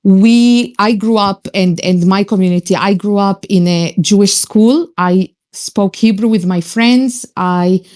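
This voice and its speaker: A woman's voice: mid-range voice, measured pace, hardly any pauses, darkish tone. A woman in her thirties who grew up in Israel, a native Arabic speaker, speaking earnestly with frequent hesitations as a guest in a live online audio discussion. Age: 30s.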